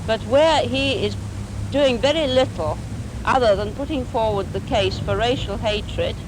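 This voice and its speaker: A woman councillor giving a formal, measured and articulate political answer in an interview. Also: on the high side. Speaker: city councillor. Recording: noisy 1950s archive film sound.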